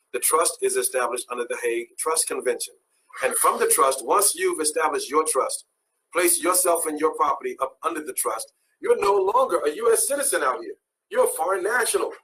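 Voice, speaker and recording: A man's voice: on the high side, fairly bright tone, a little hiss or hum, low-quality recording. A man who is a guest on a talk show, explaining his views in an assertive, lecturing way.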